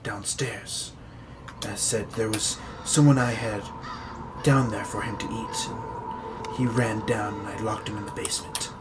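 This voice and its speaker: A nervous old priest in a tabletop game, talking in a hushed, stammering voice, as the game master puts him on. His voice drops low and quiet, with an air of grim secrecy. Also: fairly even intonation, unhurried, background noise, close to an everyday voice. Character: nervous older male village priest.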